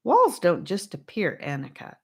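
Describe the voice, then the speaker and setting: Woman voicing a calm, sensible boy, lively intonation. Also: fairly low pitch, creaky, breathy edge, heavily acted. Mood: calm, firm. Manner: reasoning, measured, reassuring. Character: calm, sensible boy leading younger children.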